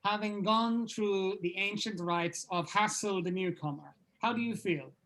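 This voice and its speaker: A man's voice: high-pitched, measured pace, pushed and bright, exaggerated voice, rough recording. A man playing a pompous band leader with a mock-solemn, ceremonial manner in a comedy script read-through.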